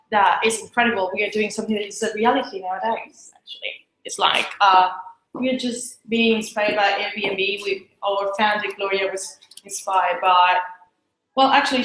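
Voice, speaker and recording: A woman's voice: mid-range voice, a bit bright. A woman presenting her company to a small group in a casual, conversational way, often restarting her sentences. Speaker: presenter of a tourism startup. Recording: echoey room with faint noise.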